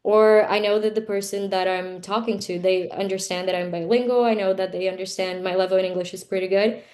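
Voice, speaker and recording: A young Brazilian woman, Portuguese her first tongue, chatting in English at a middle pitch. She talks things through openly and thoughtfully, filling her sentences with "like" and "you know". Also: fairly even intonation, fairly quick, darkish tone. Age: 20s. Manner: frank, reflective, with frequent "like" and "you know". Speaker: interview guest. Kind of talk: casual conversational interview.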